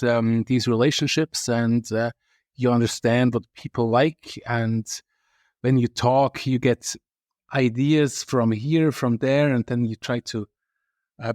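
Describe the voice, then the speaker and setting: A man speaks as a company chief in an interview. He talks thoughtfully, with fillers and restarts, at a middle pitch. The sound is close and dry. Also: no room sound. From Switzerland. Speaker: watch company CEO, guest. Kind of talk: casual interview answers.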